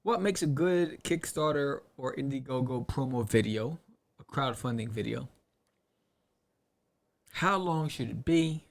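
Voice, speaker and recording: A man hosting a podcast in a casual solo talk, rambling in an animated way and often throwing out rhetorical questions. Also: medium pitch, unhurried, vocal fry, pauses often. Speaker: podcast host. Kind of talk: casual solo talk to camera or mic about crowdfunding.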